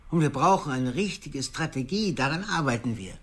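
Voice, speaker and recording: A radio-drama institution boss, stern and formal. The voice is of middle pitch with a husky grain, speaking in measured, bureaucratic, authoritative phrases. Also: male voice, lively intonation.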